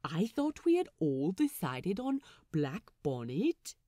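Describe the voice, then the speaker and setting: A woman voicing a polite, gentle male pirate crewman who speaks in a questioning manner in a story reading. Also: on the low side, huge pitch swings, slow pace, no echo, studio quality, full character voice. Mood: gently puzzled.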